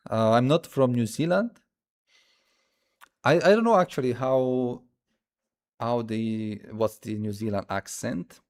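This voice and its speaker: A man who speaks as a hosts a casual live coding stream, thinking aloud haltingly and repeating his phrases as he demonstrates. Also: mid-range voice, a little mellow. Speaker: livestream host.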